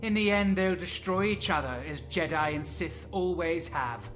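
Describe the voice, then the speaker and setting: A scheming, zealous military villain, acted by a man, with a bright, cutting voice on the higher side. He is haughty and clipped, full of menace in space audio drama fashion, over music. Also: normal speed, natural voice. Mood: scornful, ambitious.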